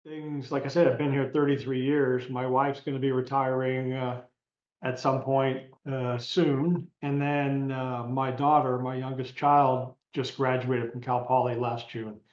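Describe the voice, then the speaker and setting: An older man, a retiring coach, answers an interview question haltingly, with lots of pauses and fillers. The audio is echoey and roomy, and its dull, muffled sound takes the edge off his voice. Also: mid-range voice, flat delivery, darkish tone. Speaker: retiring college soccer coach.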